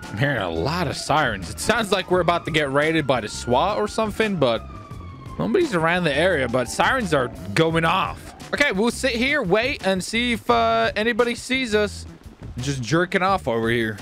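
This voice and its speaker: A man doing excited, theatrical gaming roleplay, playfully repeating phrases in quick bursts. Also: high voice, faint music behind. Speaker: streamer playing a clone gang leader. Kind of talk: excited game roleplay commentary.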